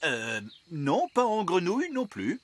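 A man voicing a wise, patient middle-aged fairy king and father in an animated series, explaining things in a measured way. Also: high voice, heavy background noise, heavily acted. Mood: gently correcting.

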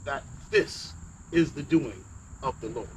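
A man's voice: mid-range voice, animated, noisy background. A man officiating a wedding outdoors, praying solemnly and leading the vows line by line, picked up by a far camera. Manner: measured, prayerful, leads vows line by line. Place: outdoor lawn wedding ceremony. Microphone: far camera on the ground. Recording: outdoor ambience with chirping insects. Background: crickets and insects.

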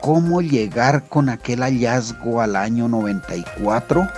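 A man reading scripted literary narration in a measured storytelling manner. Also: mid-range voice, a little mellow, faint music behind.

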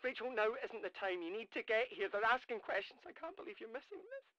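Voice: mid-range voice, big pitch swings, fast, pushed and bright, dry recording. An actor playing an exasperated, urgent caller on the phone in an audio drama. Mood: frantic, exasperated. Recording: thin, dry phone-call sound.

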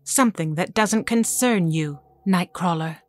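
A woman's voice: on the low side, a little slow, lightly acted. An actress playing an ageless mutant mother in an audio drama, cold, guarded and authoritative in clipped phrases. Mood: commanding. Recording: music under the dialogue.

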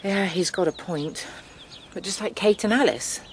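A woman's voice: mid-range voice, moderate pace, a little hiss or hum, lightly acted. An actress playing a weary, conciliatory woman from a farming family, earnest and rueful, in a naturalistic radio soap.

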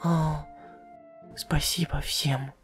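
A woman's voice: low voice, level tone, extremely slow, soft background music. A woman voicing a weary, grateful young skeleton patient in a comic dub. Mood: weak, grateful.

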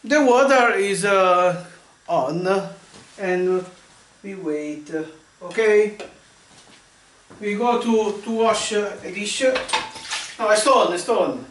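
A man in his thirties hosting a home cooking lesson, halting and searching for English words. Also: sits high, a bit bright. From Vietnam. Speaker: cooking channel host. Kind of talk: casual cooking demonstration. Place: home kitchen, indoors. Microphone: camera mic, often at arm's length or across the room. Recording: echoey kitchen with faint noise.

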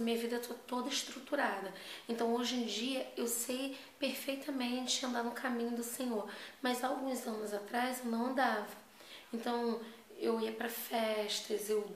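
High-voiced carioca woman in an echoey room. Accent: Rio de Janeiro (carioca) Brazilian Portuguese. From Rio de Janeiro, Brazil. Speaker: vlogger. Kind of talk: casual personal chat to camera. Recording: echoey room, faint background noise.

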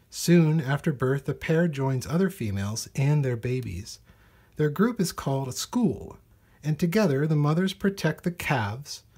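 A man with a husky voice reading a children's nature storybook aloud in measured, deliberate phrases. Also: medium pitch, darkish tone. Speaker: storybook reader. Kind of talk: read-aloud children's nonfiction storybook narration.

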